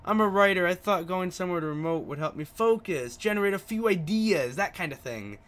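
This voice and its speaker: Man putting on a high, polite, modest writer. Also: animated, brisk, fairly bright tone. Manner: polite, hesitant. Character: polite, modest married male writer, self-effacing. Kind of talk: visual novel character read aloud.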